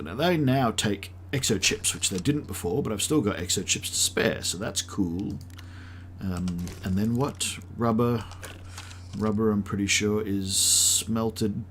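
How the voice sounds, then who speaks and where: A man's voice: lowish voice, a little hiss or hum. A man in his late thirties from South Africa, with an accent that mixes South African, Australian and American. He chats casually and rambles while streaming a game, thinking aloud with many ums. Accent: mixed South African, Australian and American. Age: late 30s. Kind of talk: live-stream gameplay chat.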